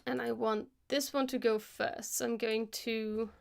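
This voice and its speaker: A woman hosting a live stream, casually talking through a software tutorial as she works, thinking aloud into a close microphone. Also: a little high, steady tone, unhurried, gravelly. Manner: casual, chatty. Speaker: host of an animation live stream.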